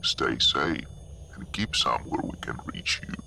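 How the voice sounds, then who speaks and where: A man plays a grave secret contact in an audio drama. His voice is rock-bottom low and gravelly, formal and terse, amid clear background noise. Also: animated, a little slow, full character voice. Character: grave, shadowy male contact giving orders.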